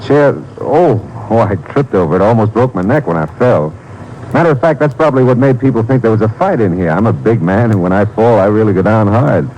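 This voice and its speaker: Guy playing a big slippery suspect acting casual, lowish voice, old noisy radio drama. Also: animated, brisk, a little mellow, lightly acted. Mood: evasive. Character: big, evasive, falsely casual male suspect.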